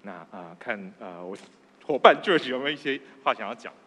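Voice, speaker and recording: A man's voice: on the low side, expressive, a little fast, pushed and bright. A man around forty with a Taiwanese Mandarin accent, a programme presenter giving an acceptance speech. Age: 30s-40s. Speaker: award-winning programme presenter. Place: large indoor theatre stage. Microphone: stand microphone, speaker masked. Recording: reverberant theatre stage sound.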